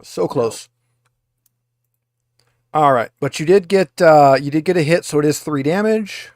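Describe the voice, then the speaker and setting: A man's voice: on the high side, measured pace, a little mellow, dry recording, studio quality. A man running a tabletop roleplaying game as game master, explaining rules and guiding players step by step.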